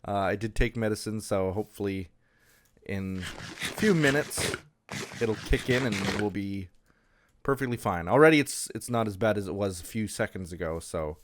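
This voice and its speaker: Stuffed-up man rambling over gameplay. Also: lowish voice. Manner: rambling, hesitant, lots of ums and restarts. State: stuffed up with a sinus infection. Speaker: Minecraft server let's-play host. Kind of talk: casual gameplay commentary.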